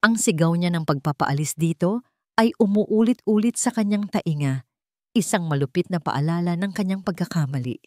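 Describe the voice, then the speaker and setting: A woman's voice: lowish voice, moderate pace, no echo, studio quality. A woman reading a dramatic scripted story as its narrator.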